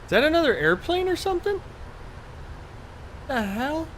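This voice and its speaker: A man's voice: very high voice, big pitch swings, moderate pace, a bit bright, a little hiss or hum, rough recording. A man live streaming a flight simulator in casual chat, rambling in an offhand, mildly profane way.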